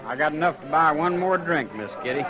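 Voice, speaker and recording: Actor playing naive young cowboy, male, lightly high voice, folksy, earnest, hot-headed, old-time radio drama, music behind. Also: animated, a little fast, lightly acted, poor audio. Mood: boyish, smitten. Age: young adult. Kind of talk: 1950s Western radio drama acting.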